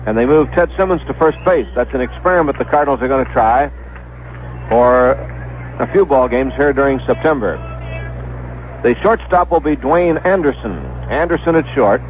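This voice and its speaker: An American man calling baseball on 1970s radio in a measured announcing manner, repeating names for clarity. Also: medium pitch, a little hiss or hum. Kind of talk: 1970s radio sports commentary, pregame lineups. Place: stadium broadcast booth. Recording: dull lo-fi vintage radio broadcast.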